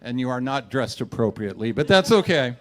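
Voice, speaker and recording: A man directing a radio play, playfully and deliberately instructing the studio audience. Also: medium pitch, lively intonation. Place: live stage with microphones.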